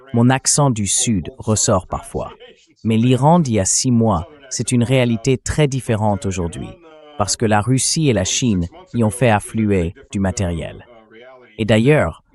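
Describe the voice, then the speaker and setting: A man's synthetic voice reading a translated interview as a military commentator, in a steady, explanatory delivery. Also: fairly low pitch.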